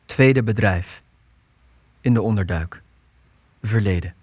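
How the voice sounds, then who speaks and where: An actor as a sober narrator, reading stage directions for a Dutch radio play in a measured, formal way. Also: male voice, fairly low pitch, darkish tone, dry recording. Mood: neutral.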